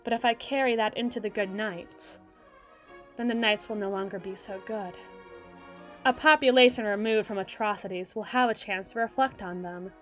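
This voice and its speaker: A woman voicing a regal, icy evil queen in a scripted ASMR roleplay, speaking in formal, theatrical oratory with deliberate emphasis. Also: mid-range voice, faint music behind, natural voice. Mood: cold, brooding.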